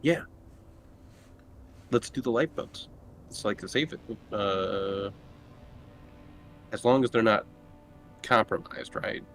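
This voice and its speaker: A man with a lowish voice talks casually as a player in a group tabletop game. His speech is conversational and unscripted, with music faintly under it. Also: takes it slowly, pauses often, a bit bright. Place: online voice call.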